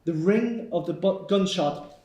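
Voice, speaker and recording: Casting: male narrator for screenplay action lines, higher-pitched, recorded with clear room echo. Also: a little slow, noisy background. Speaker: narrator reading stage directions. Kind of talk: scripted screenplay narration at a table read. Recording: echoey room.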